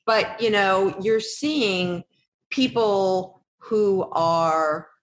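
A woman's voice: mid-range voice, very slow. Host: woman interviewer, affirming and chatty, recorded in an echoey room. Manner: affirming, thinks aloud with fillers. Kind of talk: conversational interview.

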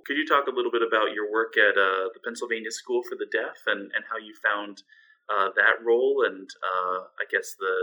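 A man interviewing a guest, asking long, halting questions full of repeated words and fillers. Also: lowish voice, sharp tone, poor audio.

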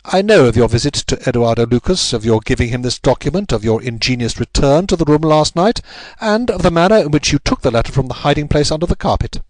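A man playing a commanding English gentleman detective in a story, incisive, urgent and authoritative. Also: fairly high pitch, lively intonation, fast, no echo, voice pushed up, lightly acted. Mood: stern, pressing.

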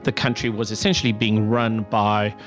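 A man's voice: on the low side, little pitch movement, moderate pace, soft background music. A middle-aged man with a South African accent, answering interview questions thoughtfully with many fillers and restarts. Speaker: executive director of a pride center.

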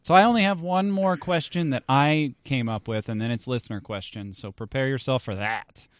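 A man's voice: mid-range voice, normal speed. A man hosting a casual interview podcast, speaking in a blunt, informal way with some swearing. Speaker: podcast host.

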